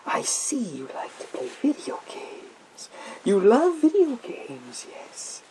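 A man playing a sinister psychic mind-reading soldier in a gas mask, in a theatrical, drawn-out, whispered ASMR roleplay. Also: extremely high pitch, wildly swooping pitch, a little mellow, a little hiss or hum, pitched above the performer's own voice, full character voice, rough recording. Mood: menacing, hypnotic. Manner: theatrical, slow, drawn-out, repetitive phrases.

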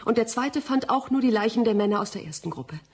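Determined female scientist, period radio drama; slightly high, husky, earnest and accusing. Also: expressive, fast, darkish tone. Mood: reproachful, firm. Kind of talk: German radio drama acting.

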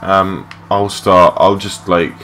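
A young man with a low voice giving rambling, matey, sweary gameplay commentary in a casual unscripted way. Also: flat delivery, slow, bright, forceful tone. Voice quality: low-pitched voice. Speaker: gaming video creator. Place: his room at home. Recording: clearly noisy home mic recording.

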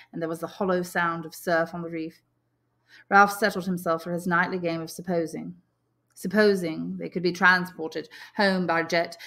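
Audiobook reader: woman, slightly low pitch with noticeable vocal fry, expressive teacherly storytelling. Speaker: English teacher reading a novel. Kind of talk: audiobook narration.

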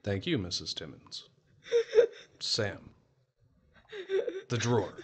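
A man playing a gentle, formal police officer in a horror audio drama, careful and sympathetic. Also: lowish voice, takes it slowly, breathy edge, full character voice. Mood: solemn.

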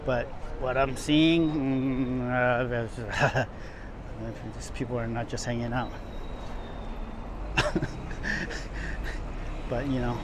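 A man's voice: mid-range voice, level tone, unhurried. A man giving a casual, rambling walking tour as a vlogger, often repeating and rephrasing himself as he goes. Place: outdoors, walking on downtown sidewalks. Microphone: handheld camera while walking. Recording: clear outdoor city street noise, traffic.

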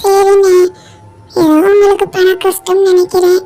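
A woman playing a kind, high-voiced pigeon. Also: completely flat delivery, unhurried, dark tone. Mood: concerned. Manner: concerned, reassuring. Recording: light music bed.

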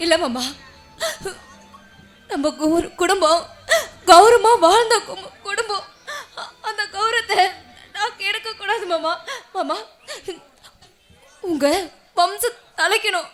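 A woman's voice: very high-pitched, slow, long pauses, fairly bright tone, heavily acted. A woman playing a distraught, self-sacrificing and stubborn wife in an emotional, pleading melodrama. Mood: tearful, insistent. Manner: emotional, pleading, often tearful. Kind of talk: melodramatic Tamil drama acting.

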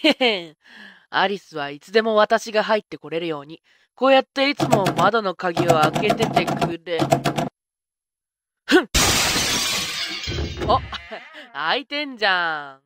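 A woman's voice: mid-range voice, expressive, measured pace, pauses often, heavy background noise, close to an everyday voice. A woman playing a careless, tomboyish young witch in an anime-style fan voice drama, talking in a casual boyish way. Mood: carefree. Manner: casual, boyish rough speech.

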